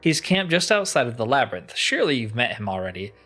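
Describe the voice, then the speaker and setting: A man's voice: mid-range voice, sing-song, fast, fairly bright tone. A man voicing a haughty ancient master enchanter turned crystal, reading game dialogue in a grandiose, theatrical manner. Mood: annoyed, commanding. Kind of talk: reading video game dialogue aloud in character.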